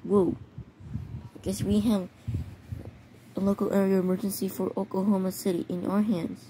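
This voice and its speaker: A woman with a soft-edged, dark and mellow voice reads out emergency-style announcements. Her delivery is halting and she trips over words. It is a homemade recording with some faint noise behind her. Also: medium pitch, level tone, rough recording. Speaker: narrator of a homemade emergency alert scenario. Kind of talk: halting read-aloud of mock emergency bulletins and weather warnings.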